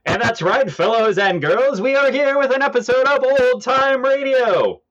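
A man playing a bombastic, excitable 1940s radio announcer selling cereal with exaggerated showmanship. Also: normal speed, muffled recording. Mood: hammy, upbeat. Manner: exaggerated, booming showman delivery.

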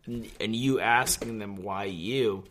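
Low-voiced young man, rambling and animated. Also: slow. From New York. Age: young adult. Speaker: podcast guest. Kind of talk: casual chat.

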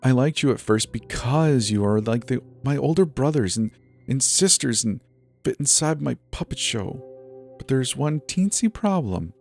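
A man's voice: medium pitch, expressive, normal speed, creaky, a little mellow, faint music behind, voice pushed up. A man voicing a creepy, petulant little girl who controls people like puppets, with a whiny, sing-song manner.

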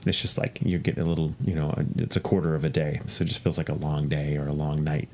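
A man's voice: a bass voice, level tone, quick pace, darkish tone, slight background noise. A man co-hosting a podcast, rambling casually and jumping into playful quoted voices. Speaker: podcast co-host. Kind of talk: casual chat. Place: recording in Texas.

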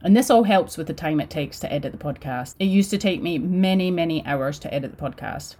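A woman's voice: low-pitched, warm tone. A woman podcast host talking through her weekly routine in a matter-of-fact, step-by-step way. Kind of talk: solo talk about her podcast routine.

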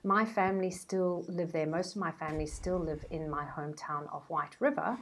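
A woman's voice: fairly low pitch, normal speed. A woman in her forties with a South African accent, telling stories as a podcast guest. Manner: chatty, storytelling. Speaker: guest, brand strategist. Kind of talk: podcast interview.

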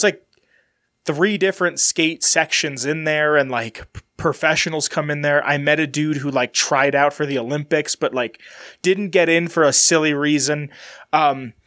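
A man's voice: fairly high pitch, fairly bright tone. A man hosting a casual podcast, rambling in a loose, chatty way while stuffy from a sinus infection. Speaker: podcast host. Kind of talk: casual solo podcast chat.

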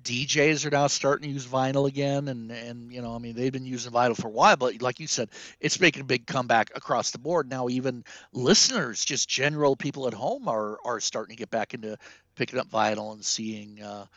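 A man's voice: medium pitch, fairly even intonation, fairly quick, a bit bright, dry recording, lo-fi sound. A man from the Czech Republic chatting casually on a podcast, rambling with crude jokes and many fillers.

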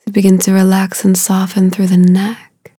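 A woman leading a guided meditation in a soothing, unhurried way, with a soft, breathy voice and long pauses between short phrases. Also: medium pitch, monotone, no echo, studio quality.

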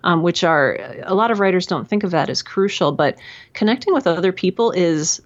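A woman with a fairly low voice talks as an interview guest in an earnest, effusive way. She speaks conversationally and slips into little quoted lines. The sound is slightly dull and lo-fi. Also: a little fast. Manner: earnest, effusive, animated self-quoting. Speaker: podcast guest, writer and mentor.

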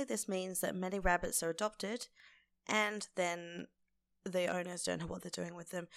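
A woman hosting a casual solo podcast in an informal, conversational way, with a croaky, hoarse voice. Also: fairly low pitch, no room sound. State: lingering hoarseness after laryngitis. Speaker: podcast host.

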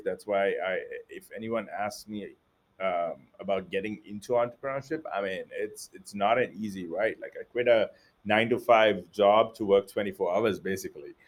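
A man's voice: lowish voice, moderate pace. A man from Sri Lanka, an entrepreneur guest, answering interview questions casually with many fillers and restarts.

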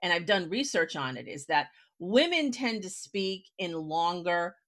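A woman's voice: on the low side, sing-song, measured pace, fairly bright tone. A woman with an American accent hosting a conversational interview, explaining her points emphatically with examples. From United States. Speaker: podcast host. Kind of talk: conversational interview about writing.

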